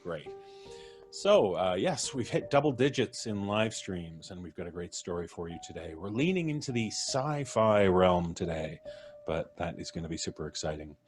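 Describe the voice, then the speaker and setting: Low-voiced guy hosting a livestream, chatty and jokey, some faint music behind him. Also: lively intonation, normal speed. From Canada. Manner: jokey, chatty, introducing guests with laughs. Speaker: host. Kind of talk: casual livestream chat.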